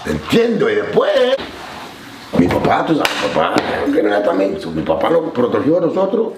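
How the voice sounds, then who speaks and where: A Cuban man in his forties with a Cuban Spanish accent, telling stories in a relaxed way and mixing in English slang. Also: on the low side, moderate pace, reverberant, heavy background noise. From Cuba. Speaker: interview guest. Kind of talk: storytelling interview. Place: studio set, seated on couch.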